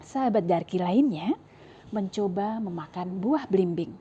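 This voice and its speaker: Female storyteller for kids, Indonesian accent, husky and mellow, dull lo-fi lapel recording. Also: mid-range voice, expressive, a little slow. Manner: sing-song, addresses children directly. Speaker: children's storyteller. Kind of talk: storybook reading for children. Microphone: clip-on lapel mic.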